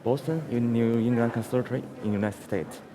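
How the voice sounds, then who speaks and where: A man's voice: medium pitch, a little fast, rough recording. Easygoing young man. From China. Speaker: pianist guest. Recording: crowd murmur behind.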